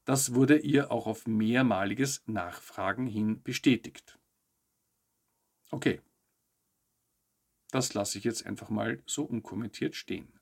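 A man hosts a news show, reading scripted narration with personal commentary in a measured, articulate way. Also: on the low side, gravelly, slight background noise. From Austria. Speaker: host of a weekly UFO news show.

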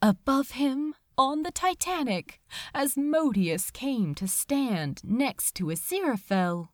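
Female audiobook reader: animated pitch, unhurried delivery, emotive and deliberate, dry recording. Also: dry recording. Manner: emotive, deliberate, dramatic pauses. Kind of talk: dramatic scripted fiction narration.

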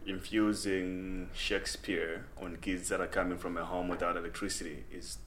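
A young podcast host from Malawi with a very low voice, chatting in a relaxed, easygoing way. He fills the conversation with little affirmations, and faint ambient noise sits underneath. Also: normal speed. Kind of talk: casual interview conversation.

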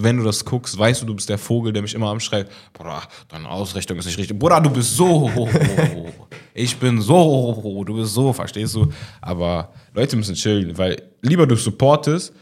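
A man's voice: fairly low pitch, a little mellow. A young man chatting casually on a podcast, slangy and joking. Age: young adult. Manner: slangy, joking, fast banter. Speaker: podcast host. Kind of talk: casual chat.

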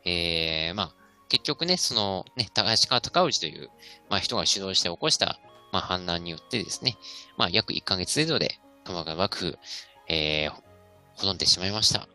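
A man giving an informal, impromptu history explanation in halting, choppy phrases with many fillers. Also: low voice, expressive, bright, forceful tone, soft background music. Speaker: history club member, podcast panelist.

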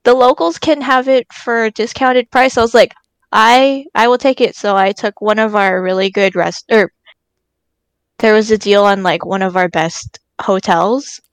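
A woman's voice: mid-range voice, normal speed, clean tone, no room sound. Young woman chatting casually, dry close sound. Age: early twenties. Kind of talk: casual chat.

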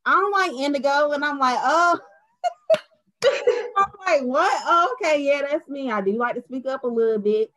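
A young adult woman, a podcast guest, talking earnestly in a casual chat and turning animated when she laughs. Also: high-pitched, normal speed, low-quality recording.

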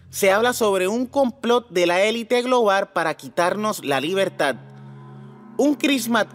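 A man presenting scripted podcast commentary as host, putting rhetorical questions to his listeners. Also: sits high. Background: background music.

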